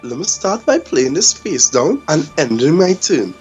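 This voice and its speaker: A performer acts a theatrical rich male villain in a cartoon parody, with a Trinidadian accent. The voice is husky and very varied in pitch, smug and taunting, over faint music. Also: big pitch swings, measured pace, a little mellow, highs cut off, full character voice.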